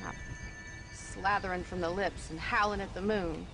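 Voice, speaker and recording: A woman's voice: fairly low pitch, steady tone, normal speed, natural voice. A woman playing a plain-spoken ranch woman. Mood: wry. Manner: plain-spoken, folksy, dry wit. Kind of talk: video game cutscene voice acting. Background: music score.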